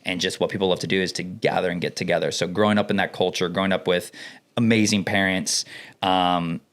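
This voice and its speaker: Young male co-host from the Dominican Republic, lowish voice, animated and expressive storyteller. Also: fairly quick. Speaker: podcast co-host. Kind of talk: casual conversation.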